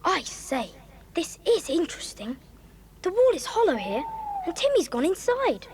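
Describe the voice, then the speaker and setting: A woman's voice: very high voice, huge pitch swings, normal speed, darkish tone, exaggerated voice. A woman playing an earnest, commanding young boy who leads an adventure in a children's audio drama, speaking in exclamations. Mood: intrigued. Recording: echoey reverberant hall, noisy.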